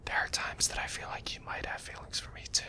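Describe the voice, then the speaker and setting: A man's voice: fast talker, bright, forceful tone. A man whispering a tender, hesitant confession as a boyfriend in an ASMR roleplay.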